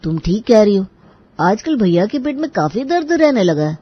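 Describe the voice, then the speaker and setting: A woman's voice: medium pitch, very animated, moderate pace, husky, dry recording, dull audio. A woman voicing a naive, indignant younger husband in a family cartoon, earnest and protesting. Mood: earnest, concerned. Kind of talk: Hindi family cartoon voice acting.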